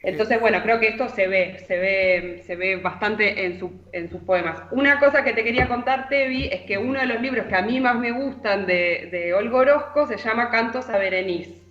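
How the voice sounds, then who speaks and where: A woman's voice: mid-range voice, moderate pace, few pauses. Argentine woman, echoey and muffled, explaining literature. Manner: explanatory, hesitant, checks in with tag questions. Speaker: literary columnist. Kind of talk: conversational literary commentary. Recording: echoey, muffled remote connection.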